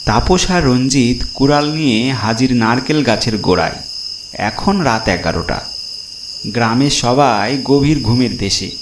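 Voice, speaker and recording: A man's voice: mid-range voice, a little slow, background noise. A man narrating a scripted horror story in a dramatic storytelling style. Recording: roomy echo, loud music. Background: loud background music.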